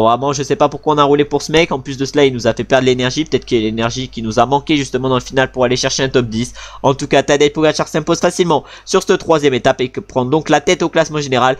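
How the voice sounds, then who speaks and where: Fast-talking French man commenting a game. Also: medium pitch. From France. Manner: fast, chatty, frustrated asides about his team leader. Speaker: video game player commenting a cycling career mode. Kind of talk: casual gameplay commentary.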